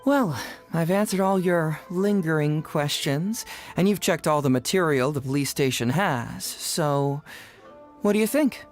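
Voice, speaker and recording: A man's voice: fairly high pitch, measured pace, natural voice. A young man playing a clever, playful, self-assured detective in a video game, articulate and teasing. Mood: playful, smug. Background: game music.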